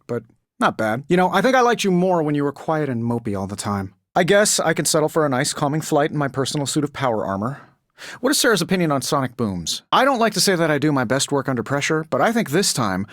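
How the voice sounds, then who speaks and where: A man's voice: fairly high pitch, fairly bright tone, dry recording, studio quality. A male actor playing a cocky billionaire inventor superhero in powered armor for a video game, glib, sarcastic and self-assured.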